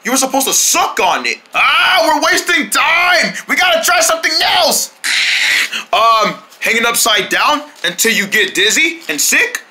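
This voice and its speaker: A performer voicing every character in a homemade paper puppet skit, jumping between squeaky and low put-on voices with lots of shouting and crying. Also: sing-song, a little slow, a little hiss or hum.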